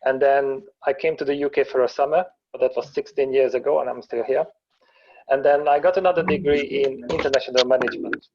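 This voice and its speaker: A man presents in a webinar, his voice sitting at a mid pitch for a man. He explains in an even, storytelling way, and the video call audio sounds dull and muffled. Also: fairly quick, slight background noise. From Hungary. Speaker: guest presenter.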